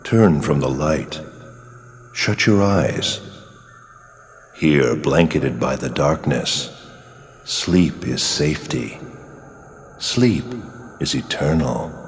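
An actor playing a solemn, ominous, disembodied male guardian of sleep and darkness in a video game, speaking in slow, cryptic phrases. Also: a bass voice, expressive, slight background noise, exaggerated voice. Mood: hypnotic, commanding. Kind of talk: English video game cutscene voice acting. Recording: long cavernous reverb over music.

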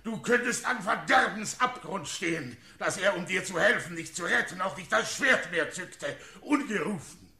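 A man's voice: high voice, lively intonation, moderate pace, vocal fry, few pauses, pushed and bright, heavily acted. An actor playing an agitated, indignant man in a classical radio play, declaiming with passion. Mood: desperate, indignant. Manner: declamatory, impassioned. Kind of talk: classical German stage-style radio acting. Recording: echoey room.

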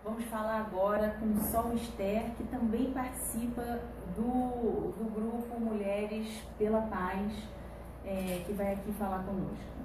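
A middle-aged woman hosts a live panel in a mid-range voice. The recording is echoey, with clear noise behind her. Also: mid-range voice, steady tone, slow pace. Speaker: moderator. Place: small indoor meeting room, seated. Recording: echoey room, distant mic, noisy.

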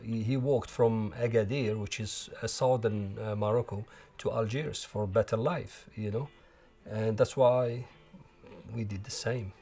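Algerian man recalling, halting speech. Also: lowish voice, steady tone, mellow. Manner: halting, many restarts and fillers. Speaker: interviewee. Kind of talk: documentary interview. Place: living room at home. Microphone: lapel.

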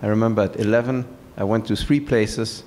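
A middle-aged man from France, speaking as a guest on stage in a large hall, pausing with many fillers. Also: lowish voice, fairly even intonation, darkish tone, a little hiss or hum. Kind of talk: onstage conversation. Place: large event hall with seated audience. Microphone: handheld mic.

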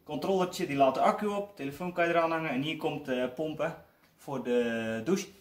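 A man's voice: fairly high pitch, brisk. A man casually explaining his handiwork, recorded on a phone in a workshop. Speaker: handyman showing his DIY build. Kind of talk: casual explaining while working. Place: wooden workshop or garage, indoors. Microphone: phone held by someone else. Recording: echoey workshop, faint noise.

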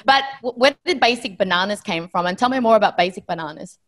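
A woman's voice: mid-range voice, animated, quick pace, reverberant. A woman in her thirties who grew up partly in Vietnam, hosting a podcast with a read intro and a bubbly, laughing chat. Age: 30s. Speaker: podcast host. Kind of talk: scripted intro then casual chat.

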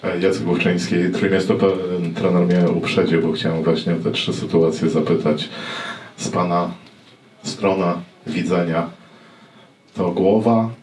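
A reporter puts long, winding questions to a coach. His voice is very deep. It is picked up from a distance in a reverberant press room. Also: steady tone, measured pace, a little mellow. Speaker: journalist. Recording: echoey room, distant mic, faint noise.

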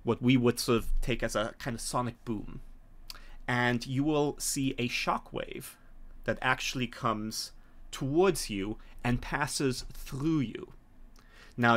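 A middle-aged man narrating a tabletop game as game master, conversational and halting as he thinks it through. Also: medium pitch, fairly bright tone. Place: home room, indoors, on a video call.

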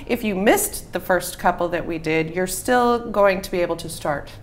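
A woman's voice: fairly low pitch, normal speed. A woman in her thirties speaking as a guest from a local business, explaining casually. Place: small bar room, indoors. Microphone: clip-on lapel mic. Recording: faint room noise.

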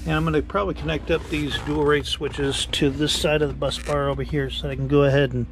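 A man's voice: fairly high pitch, level tone, fairly quick, background noise. A middle-aged man casually walking through a hands-on project, rambling and thinking aloud as he works. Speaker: hobbyist builder showing his project. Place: small cockpit rig inside a cluttered workshop.

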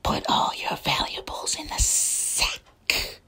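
A woman voicing a menacing male serpent robber in an amateur cartoon, with a breathy, whispery rasp and drawn-out threats. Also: pushed and bright.

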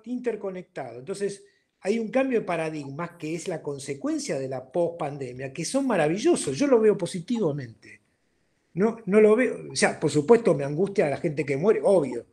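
An older man with an Argentine accent speaks in a strikingly high voice for a man. He talks informally and emphatically, like a seminar guest giving his views over a video call. He often stops to check that he is being understood. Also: a little mellow. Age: 60s. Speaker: interviewed guest, accountant turned entrepreneur. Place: indoor room at home, video call.

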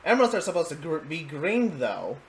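A man's voice: a little high, very animated, fairly quick, a little hiss or hum. A man hosting a reaction video, chatting casually in hesitant, rambling asides. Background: faint game music.

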